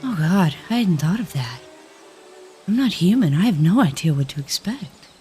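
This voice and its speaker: A woman's voice: fairly low pitch, big pitch swings, moderate pace, warm tone. A woman playing a worried, non-human expectant mother in a fantasy audio drama, candid and conversational with her friend. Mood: anxious. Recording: faint music behind the voice.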